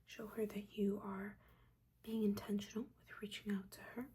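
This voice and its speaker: A woman voicing a caring, judging witch mentor in a soft, breathy whisper, gently and haltingly giving guidance in an ASMR roleplay. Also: medium pitch, little pitch movement. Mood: instructive. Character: older witch mentor, motherly, judging yet caring teacher.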